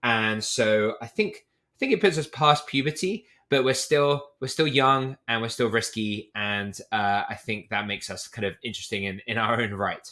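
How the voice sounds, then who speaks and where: A British man with a lowish, bright and forceful voice, speaking as an interview guest. He explains things candidly and at length, dotting his speech with casual fillers. It sounds like a relaxed podcast conversation. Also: normal speed. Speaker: podcast guest, startup CEO.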